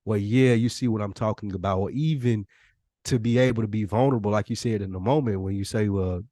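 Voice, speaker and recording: A man's voice: lowish voice, a little fast, mellow, no echo, studio quality. A man co-hosting a podcast in casual, earnest talk, using slang and profanity and often voicing imagined quotes. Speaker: podcast co-host.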